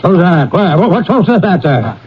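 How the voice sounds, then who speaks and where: A man's voice: sits high, sing-song, unhurried, noisy background. An actor playing a bitter, miserly old businessman in a classic radio drama, with scornful, snappish, grumbling delivery. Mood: threatening. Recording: dull lo-fi old radio broadcast.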